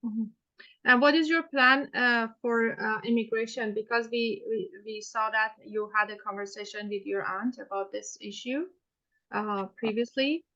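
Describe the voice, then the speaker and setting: A woman questions a client in a mock hearing, pausing often and restarting her sentences. Her voice sits fairly high. The recording is dull and muffled. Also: steady tone, sharp tone. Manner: hesitant, many fillers and restarts. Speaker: student playing counsel in a mock hearing.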